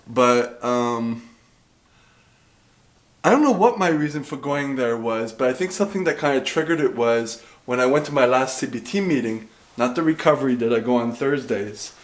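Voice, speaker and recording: A man's voice: medium pitch, expressive, normal speed. Rambling chatty guy in an echoey room. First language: English. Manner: rambling, chatty, self-interrupting. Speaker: vlogger. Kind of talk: casual talk to camera. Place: dark room at home.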